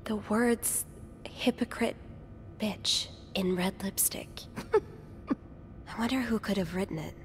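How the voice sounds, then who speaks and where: A woman voicing a gentle, curious hotel maid turned amateur sleuth in a mystery video game. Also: mid-range voice, unhurried, soft background music, close to an everyday voice. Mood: confiding.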